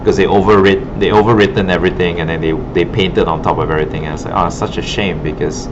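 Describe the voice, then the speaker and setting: A man's voice: sits low, fairly even intonation, fast. A man working as an art instructor, giving a casual classroom demo and thinking aloud informally as he works. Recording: muffled, noisy broken classroom audio.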